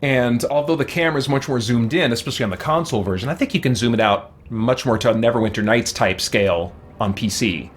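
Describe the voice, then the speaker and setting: A man's voice: medium pitch, quick pace, hardly any pauses, slight background noise. A man giving casual gameplay commentary as a streamer, rambling and enthusiastic as he thinks aloud. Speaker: game streamer.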